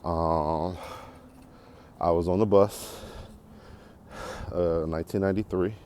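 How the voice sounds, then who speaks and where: An American man in his forties talking casually and unscripted as a podcast host, in a reflective, halting, rambling way while walking outdoors. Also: low-pitched, fairly even intonation, darkish tone. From Detroit area, United States. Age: 40s. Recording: clearly noisy outdoor walking recording.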